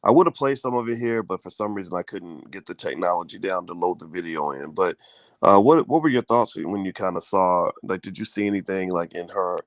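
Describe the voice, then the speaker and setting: Groggy deep-voiced male host. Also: fairly quick, no echo. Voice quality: groggy morning voice. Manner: loose and chatty, many fillers and restarts. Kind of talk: casual live-stream chat, reading a news story. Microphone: close mic at home, in bed.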